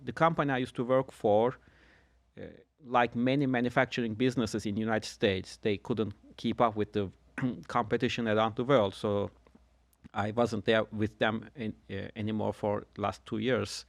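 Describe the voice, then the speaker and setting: A middle-aged man from Turkey, a guest telling his story in a measured way with dry humor. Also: on the low side, steady tone, vocal fry, no echo. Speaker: guest, insurance agent. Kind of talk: casual podcast conversation.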